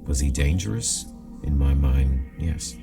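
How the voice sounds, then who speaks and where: An actor playing a grave, remorseful leader dictating a personal log in clipped, deliberate phrases. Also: male voice, extremely low pitch, gravelly, exaggerated voice. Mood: grave, regretful. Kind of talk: audio drama monologue. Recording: distant voice under loud music.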